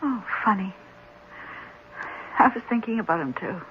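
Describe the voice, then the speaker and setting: An actress playing a sympathetic, concerned wife in a 1970s radio drama, speaking gently. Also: female voice, medium pitch, animated, moderate pace, close to an everyday voice. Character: sympathetic wife, soft-hearted about a foster child. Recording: muffled, noisy old radio broadcast.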